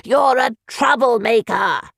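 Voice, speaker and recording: A woman's voice: mid-range voice, expressive, a little slow, vocal fry, no echo, studio quality. A woman voicing a pompous, self-assured male town orator who blusters at the crowd. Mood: scornful.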